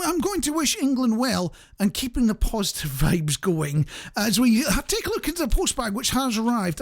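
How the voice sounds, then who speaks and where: A radio host chatting casually to listeners, wry and jokey, wandering off into asides. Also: mid-range voice.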